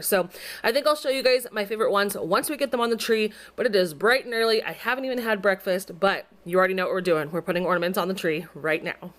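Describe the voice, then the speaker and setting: A young woman in her twenties chatting casually on a home vlog, her voice slightly raspy from dust, with a chatty, upbeat manner. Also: medium pitch. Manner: chatty, upbeat, filler-heavy. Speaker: vlogger. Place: home, indoors. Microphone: camera propped up nearby.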